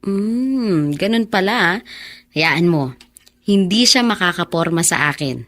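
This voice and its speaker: An actress playing a dutiful young bar hostess and daughter in a Filipino radio drama, speaking gently and naturally. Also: female voice, lowish voice, lively intonation, a little slow. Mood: guarded. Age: young adult.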